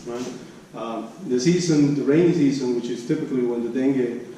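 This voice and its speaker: A man presenting research at an academic conference, speaking haltingly and often repeating and restarting his phrases. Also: medium pitch, warm tone. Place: conference room. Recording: echoey room, distant mic, background noise.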